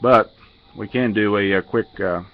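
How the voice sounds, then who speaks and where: A man giving a casual hands-on technical walkthrough as a radio hobbyist, halting and matter-of-fact, picked up on a handheld camera mic. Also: fairly low pitch, phone-quality sound. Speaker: amateur radio hobbyist demonstrating a modified radio.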